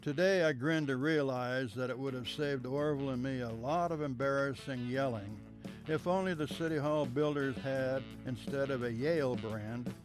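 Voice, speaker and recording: A man reading a childhood memoir aloud in a measured storytelling manner, letting sentences trail off softly at their ends. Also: medium pitch, faint music behind.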